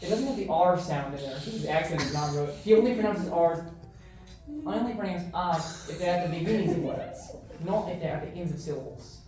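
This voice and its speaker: A man's voice: on the high side, a little fast, distant mic, music in the background, close to an everyday voice. A man voicing an eccentric, pompous debater with an invented, loosely New Zealand non-rhotic accent and merged vowels, in improvised comedy. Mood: explanatory. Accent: invented, loosely based on New Zealand. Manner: pompous, pedantic word choice. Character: eccentric pedantic man, chaotic, weird, grandly verbose debater. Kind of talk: improvised comic debate in character. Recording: very echoey room, off-mic, faint noise.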